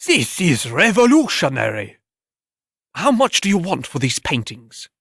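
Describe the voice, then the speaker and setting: A man voicing a pompous, excitable art critic in a dramatic story reading, exclaiming with overblown fervor. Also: on the high side, measured pace, vocal fry, husky, no echo, studio quality, pitched above the performer's own voice, exaggerated voice. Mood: excited.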